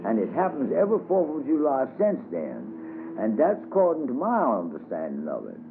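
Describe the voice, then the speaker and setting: A man's voice: mid-range voice, wildly swooping pitch, normal speed, noisy background, voice pushed up. A man voicing a good-natured old wagon driver who fumbles big words, on a tinny radio broadcast. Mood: folksy. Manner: folksy, mixes up big words. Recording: tinny phone-like radio sound.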